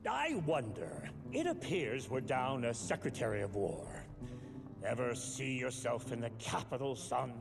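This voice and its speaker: An actor playing a stately, fatherly American president in a video game, formal, measured and courteous. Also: medium pitch, sing-song, gravelly. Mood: approving, warm. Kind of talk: video game cutscene acting. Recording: loud music underneath.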